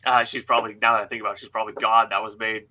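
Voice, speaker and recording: A man's voice: on the low side, level tone, rapid-fire, sharp tone, a little hiss or hum. A young man hosting a casual livestream, reading out comments and bantering jokily, laughing through his words and swearing. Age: young adult. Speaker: author hosting a read-along livestream. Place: indoor room at home.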